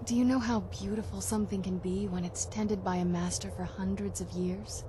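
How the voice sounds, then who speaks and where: A wistful young noblewoman vampire from a fantasy video game, acted by a woman. She talks earnestly and reflectively at a natural middle pitch. Clear ambient noise runs behind her voice. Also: steady tone, normal speed, natural voice.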